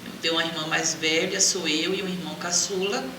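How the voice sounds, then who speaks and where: Middle-aged woman, Bahian Brazilian accent, personal storytelling, far from the mic, clear background noise. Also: deep voice, steady tone, fast talker, echoey room. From Salvador, Bahia, Brazil. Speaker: interview guest.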